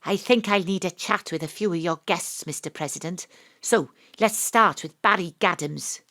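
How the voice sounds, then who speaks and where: A woman's voice: lowish voice, normal speed, sharp tone. An actress playing a dry, sardonic woman detective who questions suspects and narrates her case in an audio drama. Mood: probing, brisk.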